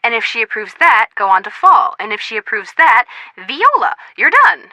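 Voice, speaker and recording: A woman's voice: on the high side, big pitch swings, moderate pace, sharp tone, no room sound, exaggerated voice, rough recording. A woman voicing a bubbly, eager young sidekick in a video game, chatty, upbeat and encouraging. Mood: bubbly, helpful. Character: bubbly, eager young female sidekick friend, sweet-toothed.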